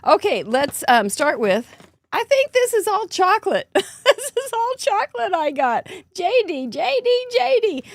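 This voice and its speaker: A hoarse woman in middle age hosts a casual show-and-tell with a chatty, upbeat manner and frequent laughs. Her voice sits a little high. She is recorded close up with a dry sound. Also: no room sound. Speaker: host.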